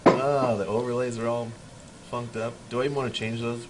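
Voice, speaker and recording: A man's voice: medium pitch, level tone. A man hosting a casual online show, joking with his guest and asking him questions. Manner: joking, quick to laugh. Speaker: show host. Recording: clear background noise.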